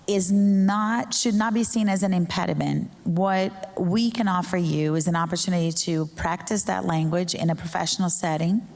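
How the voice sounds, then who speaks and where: A middle-aged Brazilian woman whose first language is Portuguese speaks on a panel in a lowish, creaky voice. She pauses to find her words as she addresses the audience. The hall adds a long echo around her. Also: a little mellow. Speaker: journalism professor and panelist. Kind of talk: informal panel talk to an audience. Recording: echoey hall with long reverb.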